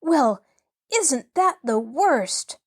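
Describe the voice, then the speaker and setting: An indignant young girl from a storybook, played by a woman narrator. Her voice is pitched high and lands hard on its words. She is dramatic, fiery and full of wounded, haughty dignity. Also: lively intonation, drawn-out words, exaggerated voice. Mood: dismayed. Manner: emphatic, dramatic, wounded pride.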